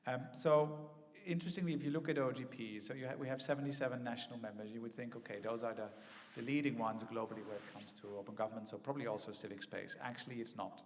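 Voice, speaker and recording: A man's voice: mid-range voice, steady tone, quick pace, a little hiss or hum. A man on a conference panel, speaking thoughtfully with many "ums" and tag questions like "right?" and "no?". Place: large conference hall. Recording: echoey conference hall.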